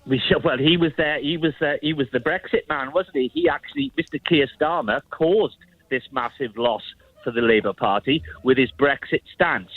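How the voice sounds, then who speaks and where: A man's voice: a little high, big pitch swings, normal speed, dry recording. Blunt man on a tinny clip. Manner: blunt, indignant. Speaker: vox pop interviewee. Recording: dry tinny clip, radio-like.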